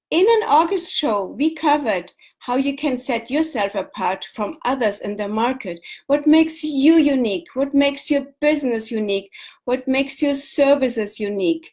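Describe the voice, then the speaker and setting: A woman's voice: fairly high pitch, a little mellow. A woman with a German accent, a native German speaker, hosting a live business talk show and giving step-by-step advice with repeated lists. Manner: instructive, repeats key points in lists. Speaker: show host, business coach.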